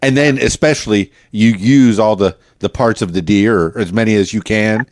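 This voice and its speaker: A man's voice: lowish voice, normal speed, no echo, studio quality. A man hosting a casual radio interview, asking questions in an informal, affirming, folksy way. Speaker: radio podcast host, interviewer.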